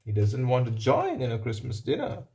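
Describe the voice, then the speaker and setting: A man reading a picture book aloud, pausing for simple explanatory asides and checking in with the listener. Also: medium pitch, wildly swooping pitch, dark tone. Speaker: storybook reader.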